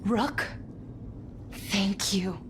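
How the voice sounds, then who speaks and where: A woman voicing a gentle, hopeful young female adventurer in a fantasy video game, sincere and a little hesitant. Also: medium pitch, very slow, noisy background. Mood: earnest, hopeful. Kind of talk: video game character acting.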